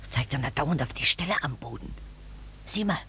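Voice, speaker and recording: An actress playing a nosy, spiteful old village neighbour in a German radio drama, scornful and prying. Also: female voice, rumbling low, lively intonation, moderate pace, sharp tone, slight background noise, full character voice. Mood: scornful gossip.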